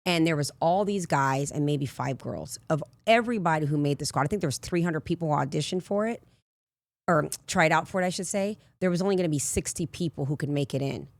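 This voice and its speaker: A raspy woman in her forties, a life coach telling candid personal stories in a casual interview. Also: sits low, brisk, no echo. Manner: candid, rambling storyteller with frequent asides. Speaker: life coach, podcast guest.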